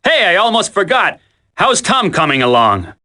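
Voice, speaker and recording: An actor playing a cocky, wisecracking, flippant commando in a dramatic video game. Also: male voice, sits high, huge pitch swings, moderate pace, sharp tone, exaggerated voice. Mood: teasing.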